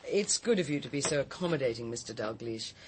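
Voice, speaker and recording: A woman's voice: very deep voice, animated, quick pace, background noise. An actress playing a poised, sociable countrywoman in a radio drama, speaking politely and articulately with slight hesitations in a British accent. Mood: courteous. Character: poised, sociable, frank countrywoman, a writer and cook.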